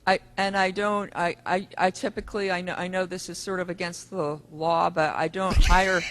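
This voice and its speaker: A man from the audience at a conference tells his own story. He rambles and halts, restarting his sentences again and again. His voice is a bit higher than most men's. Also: level tone, normal speed, a bit bright. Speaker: audience member. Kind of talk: sharing experience from the audience. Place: conference room.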